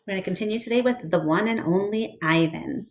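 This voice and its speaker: A woman teacher reading a storybook aloud to her class with expressive narration. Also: mid-range voice, brisk.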